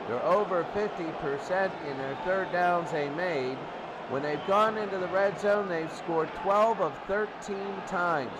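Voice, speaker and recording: A man with a fairly high voice does football commentary in a very noisy amateur recording. He reads statistics slowly and haltingly, phrase by phrase. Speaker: sports commentator. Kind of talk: football pregame commentary, reading team statistics. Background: loud background noise.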